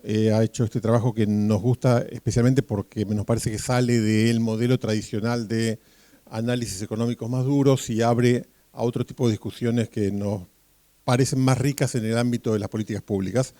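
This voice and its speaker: A man's voice: mid-range voice, little pitch movement, moderate pace, warm tone, no room sound. A man introducing a speaker at a public event, rambling with many fillers and small jokes.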